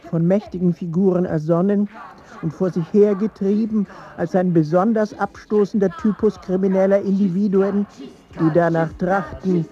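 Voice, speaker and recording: Give me an actor as a dark-toned literary narrator. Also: medium pitch, muffled recording, close to an everyday voice. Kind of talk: scripted radio play narration.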